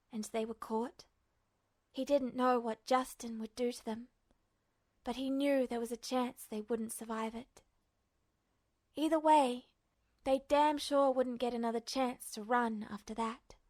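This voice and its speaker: Woman, slightly high voice, scripted story narration, audiobook reading, fiction narrator. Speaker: fan fiction podfic narrator.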